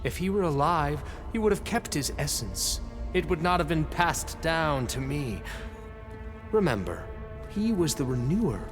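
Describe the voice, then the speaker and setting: Pompous, reverberant self-proclaimed saviour. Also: male voice, on the high side, sing-song. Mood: self-assured, lofty. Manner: pompous, theatrical, deliberate. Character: grandiose self-deified leader, convinced he is a reborn ancestor. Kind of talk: video game cutscene acting. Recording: long hall reverb, music behind.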